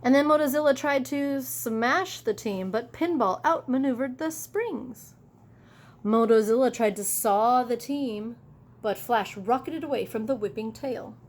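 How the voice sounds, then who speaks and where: A woman reading a children's story aloud expressively, in an animated way, pausing for asides to young listeners. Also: on the high side. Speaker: teacher reading a picture book aloud.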